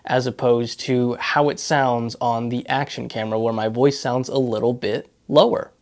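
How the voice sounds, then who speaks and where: A man's voice: medium pitch, steady tone, normal speed. A man giving a casual spoken review of a camera to his viewers, talking conversationally into a lavalier mic and offering direct advice. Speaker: tech product reviewer.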